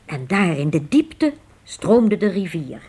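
Husky, animated woman narrator. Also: lowish voice, takes it slowly. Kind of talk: scripted radio drama narration, storytelling.

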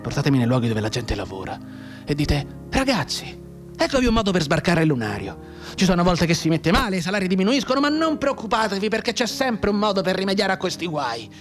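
Un attore che interpreta in un radiodramma un giovane reduce di guerra mutilato, amaro e sardonico, con tono declamatorio e appassionato. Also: male voice, high-pitched, big pitch swings, a little fast. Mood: bitter, sardonic. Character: bitter, maimed young war veteran, anguished and sardonic. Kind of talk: dramatic radio theatre monologue. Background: music under the voice.

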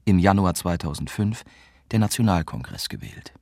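An actor playing a young German journalist, narrating a radio drama in a reflective, bitter way. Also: male voice, sits low, big pitch swings, normal speed, gravelly, darkish tone, no room sound, studio quality, full character voice. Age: young adult.

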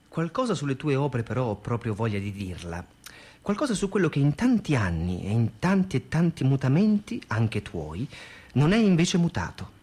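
A man with a husky, medium-pitched voice reads a letter for radio theatre. His delivery is expressive and theatrical, rising and falling widely. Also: moderate pace. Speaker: radio reader. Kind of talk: dramatic reading of a letter.

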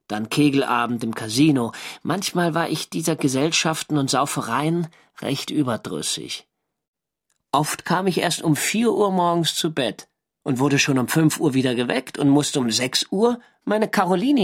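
An actor playing a German navy skipper who narrates his First World War diary in a measured, dry, literary way for a radio drama. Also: mid-range voice, expressive. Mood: matter-of-fact.

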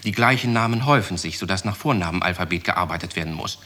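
A pedantic male clerk in an old radio drama. His voice is deep and forceful, and he rattles through things quickly in a matter-of-fact, officious way. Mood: officious, eager. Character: brisk, pedantic, eager male records clerk. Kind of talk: 1960s German radio play acting.

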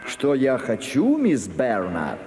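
An actor playing a stiff, insistent English theatre impresario in a radio play, speaking formally and haltingly. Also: male voice, medium pitch, huge pitch swings, a little mellow. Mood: awkward, evasive. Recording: reverberant hall sound, music behind.

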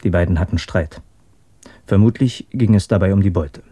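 A man's voice: deep voice, moderate pace, warm tone, no echo. An actor playing a keen, methodical male police detective reporting to his superior in a German radio crime drama.

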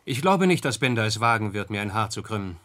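Cool, determined reporter sleuth. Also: male voice, fairly low pitch, sing-song, fast talker, fairly bright tone. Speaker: actor in a radio play. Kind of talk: German radio crime drama acting.

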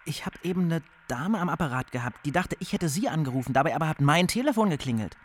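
A high, very animated young male voice played by a man for a radio drama. He is an easily amazed phone tinkerer. He stammers, breaks off and repeats himself when flustered. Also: fast talker, a little hiss or hum, lightly acted. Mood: amazed, excited. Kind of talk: naturalistic German radio drama dialogue.

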